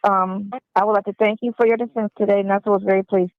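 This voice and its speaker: Female caller on a tinny phone call, fry-edged voice. Blunt, defensive, insistent, conversational. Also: medium pitch, flat delivery, fast talker, no room sound. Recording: tinny, band-limited jail phone line.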